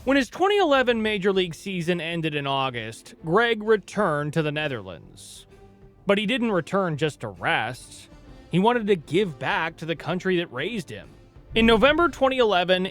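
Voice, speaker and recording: A man narrates a sports documentary in a slightly high voice. He reads a scripted story with dramatic stress on key moments. Soft music plays quietly behind him.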